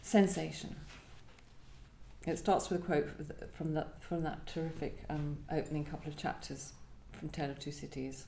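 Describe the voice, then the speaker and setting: Mellow woman poet at a live reading, thoughtful, bit tentative, roomy echo. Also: low-pitched, fairly even intonation, normal speed, gravelly, a little hiss or hum. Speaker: guest poet. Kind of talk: live poetry event, introducing and reading poems. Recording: echoey room.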